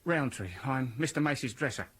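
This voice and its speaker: A man's voice: mid-range voice, normal speed, a bit bright, breathy edge. A man playing a nervous, deferential theatre dresser in a radio drama, with a British accent and an agitated, hesitant manner. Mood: uneasy.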